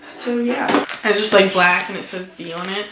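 Teen girl vlogger rambling w lots of likes, bit hoarse from a cold, echoey room. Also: mid-range voice, normal speed, noisy background. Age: late teens. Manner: chatty, many "like" fillers, upbeat about purchases. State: recovering from a cold, somewhat hoarse. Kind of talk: casual haul vlog.

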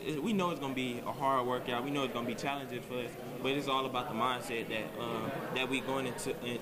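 A young man from Texas, a high school football player, answering in a laid-back, rambling way with lots of fillers. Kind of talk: casual interview answers.

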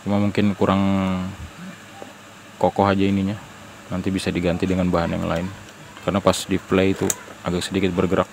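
A man with a fairly low voice talks informally as he demonstrates something by hand. His delivery is halting, with pauses mid-phrase. A faint noise sits behind him in the recording. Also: completely flat delivery, creaky. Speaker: host of a DIY audio channel. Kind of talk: casual hands-on demonstration.

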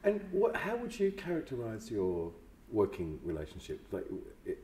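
A man's voice: lowish voice, wildly swooping pitch, normal speed, dark tone. A man in his fifties asking questions as a casual interviewer, seated in a small room. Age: 50s. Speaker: interviewer, literary manager. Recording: roomy sound, faint background noise.

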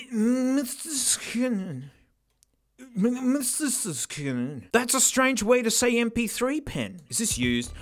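A hoarse man, excitably reviewing a gadget in casual, exclamatory commentary. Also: sits high. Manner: excitable, exclamatory, self-mocking.

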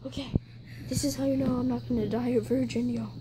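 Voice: medium pitch, flat delivery, fast, background noise. A young-sounding performer giggling and joking crudely in slang while improvising a homemade puppet show, recorded on a handheld phone indoors. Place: carpeted bedroom indoors. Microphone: handheld phone close by.

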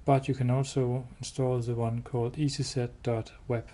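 A man giving a hands-on product demo indoors, explaining step by step in a halting, matter-of-fact way. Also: medium pitch, little pitch movement, warm tone. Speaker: product demonstrator. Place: indoors, showroom with wall-mounted devices. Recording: clear background noise.

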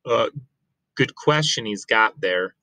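Animated man reading aloud, slow pace. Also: fairly low pitch, no room sound. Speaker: teacher reading aloud to children. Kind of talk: animated picture book read-aloud.

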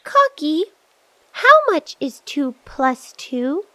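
Casting: a very high-pitched, cheerful little girl for a preschool cartoon, animated, helpful, encouraging. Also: heavily acted. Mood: friendly, explaining. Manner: slow, simple, encouraging, pausing after key words. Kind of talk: children's cartoon dialogue addressed to young viewers.

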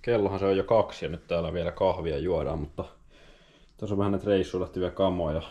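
A young Finnish man talking casually to the camera in a vlog, relaxed and rambling with many fillers. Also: deep voice. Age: young adult. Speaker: vlogger and roller skier. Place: in a car, outdoors on a road, indoors at home. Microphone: handheld or dash camera. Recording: dull lo-fi sound with background noise.

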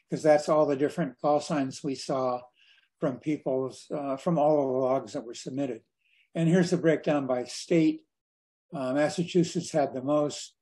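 An older man giving an informal slide talk over a video call as a guest speaker, in a halting, conversational way. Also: mid-range voice, mellow. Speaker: guest speaker at a radio club.

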